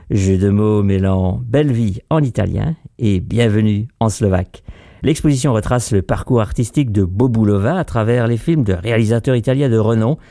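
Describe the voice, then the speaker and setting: A man presenting a scripted radio feature, reading in a measured, formal way. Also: mid-range voice, warm tone, dry recording, studio quality.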